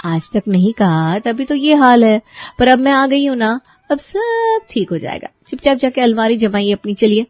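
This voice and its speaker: A woman voicing a bossy, sharp-tongued young bride in a cartoon story, commanding and sarcastic. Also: on the high side, sing-song, moderate pace, no echo, muffled recording.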